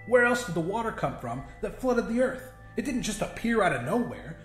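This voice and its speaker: A man's voice: high-pitched, sing-song, brisk, reverberant, faint music behind, voice pushed up. Man voicing an urgent, defiant young man. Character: defiant, scheming young man, stubborn hero.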